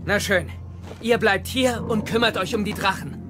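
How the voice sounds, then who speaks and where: A man playing an earnest, quick-thinking teenage Viking boy who leads, hesitant yet decisive, in a cartoon audio drama. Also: sits high, music in the background, lightly acted. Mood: determined.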